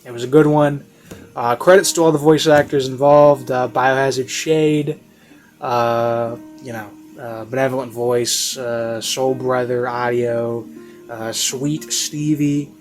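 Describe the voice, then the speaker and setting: A man's voice: medium pitch, fairly even intonation, slow. A man giving a casual, rambling spoken review in a hesitant way, often trailing off and rephrasing himself. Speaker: online reviewer. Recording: classical music behind the voice. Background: classical background music.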